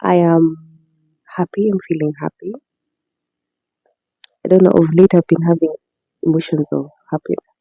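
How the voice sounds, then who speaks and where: Raspy just-woken Kenyan woman. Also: low voice, no echo, dull audio. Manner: soft-spoken, rambling, laughs often. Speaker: podcast host. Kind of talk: casual diary-style monologue.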